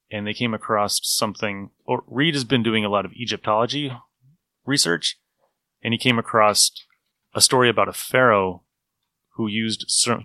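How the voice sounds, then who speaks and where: A man's voice: on the low side, pushed and bright, no room sound. A man hosting a show with a casual, wry recap chat. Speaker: podcast host.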